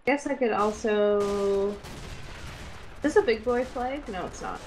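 A woman's voice: mid-range voice, a little slow, darkish tone, a little hiss or hum. A young woman chatting casually as she plays a game, playful and slangy. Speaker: gamer, co-player. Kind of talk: casual gameplay chat. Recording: game gunfire behind. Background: war game gunfire.

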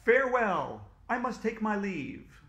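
A man's voice: high-pitched, very animated, measured pace, bright, forceful tone, voice pushed up, exaggerated voice. A man reading aloud, voicing a heroic female superhero who champions tooth care in a grand, declaiming way. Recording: echoey room.

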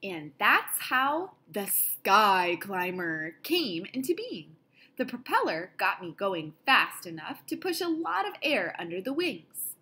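A woman reading a children's picture book aloud in an expressive style, with deliberate, dramatic phrasing. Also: medium pitch, husky. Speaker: storybook reader.

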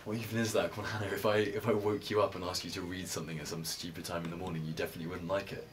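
A man's voice: low-pitched, level tone, very fast, slight background noise, close to an everyday voice. An actor playing a frustrated young male student, speaking informally and swearing in a small bedroom. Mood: coaxing. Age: young adult. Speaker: film actor. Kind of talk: naturalistic film dialogue.

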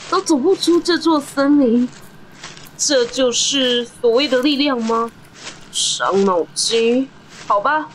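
A woman's voice: on the high side, slight background noise, poor audio. A woman voicing an earnest, determined young boy who worries for his older brother, in amateur audio drama style.

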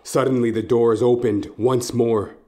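A man narrating a horror story in a suspenseful, theatrical manner, with short deliberate phrases. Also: mid-range voice, fairly even intonation, darkish tone. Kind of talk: dramatic second-person horror story narration. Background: music.

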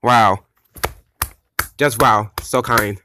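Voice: medium pitch, very slow, background noise. An amateur voice actor playing a casual, bossy, wisecracking video-game character in a homemade cartoon. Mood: teasing. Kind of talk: amateur animation voice acting.

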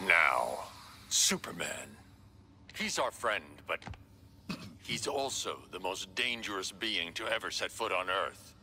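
An actor playing a grim, menacing masked vigilante turned villain in a video game, gravelly, ominous and commanding. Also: male voice, low-pitched, very animated, slow pace, a little hiss or hum, heavily acted. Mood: solemn. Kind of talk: video game character acting. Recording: loud background music.

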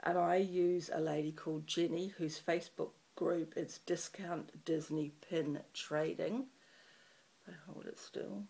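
A middle-aged woman from New Zealand with a New Zealand accent and a croaky morning voice, chatting to a webcam in a small room, rambling with lots of ums. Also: lowish voice, fairly even intonation, measured pace, dark tone, low-quality recording. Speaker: craft channel host. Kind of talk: casual chat to camera. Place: small room in front of a festive fabric backdrop.

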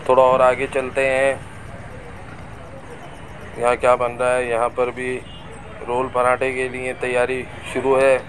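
A man's voice: mid-range voice, monotone, a little slow, long pauses. Man narrating a noisy street tour. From Pakistan. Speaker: vlogger. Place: busy market street outdoors. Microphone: camera on a moving bike. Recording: noisy outdoor street recording. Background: traffic and crowd noise.

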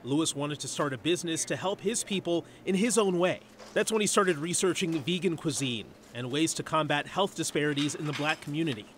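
Male reporter voice-over, measured, high-pitched, faint street hum underneath. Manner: measured, storytelling. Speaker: TV news reporter. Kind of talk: scripted news voice-over.

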